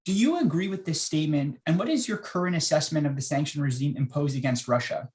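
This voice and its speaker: A man hosting an interview show, reading out the news highlights and then asking questions in a conversational way with frequent fillers. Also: animated, fast talker, a little mellow. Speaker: interview host.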